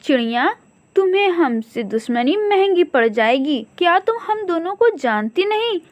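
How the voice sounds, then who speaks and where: A woman's voice: extremely high pitch, big pitch swings, moderate pace, no room sound, voice pushed up. A woman voicing a boastful, nagging female crow, a quarrelsome cartoon wife who complains a lot. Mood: boastful, threatening.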